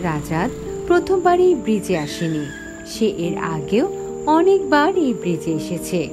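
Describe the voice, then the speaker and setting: A woman voicing a wistful young village woman, narrating a scripted cartoon story with dramatic expression. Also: sits high. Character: Nina, wistful young village woman recalling lost childhood friend.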